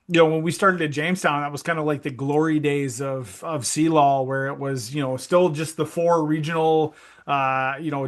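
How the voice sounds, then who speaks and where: A man in his thirties with an Upper Midwest accent, chatting casually as an interview guest, relaxed and friendly with plenty of ums. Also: on the high side. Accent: Upper Midwest American. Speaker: guest, esports director.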